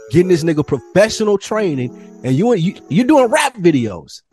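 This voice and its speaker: A man co-hosting a casual podcast, talking in an animated way and climbing higher when he gets worked up. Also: moderate pace, faint music behind. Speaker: podcast co-host.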